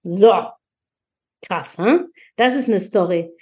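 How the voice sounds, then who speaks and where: A woman's voice: mid-range voice, slow pace. A woman in her forties from Germany, a podcast guest telling her own story in an open, emotional way with many restarts. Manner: expressive, emotional, frequent restarts. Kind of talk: candid personal storytelling.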